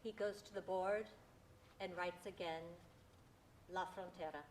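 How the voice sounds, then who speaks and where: A woman reading scripted stage directions in a measured, neutral manner. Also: lowish voice, steady tone, low-quality recording. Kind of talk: scripted narration. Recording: noticeable background noise.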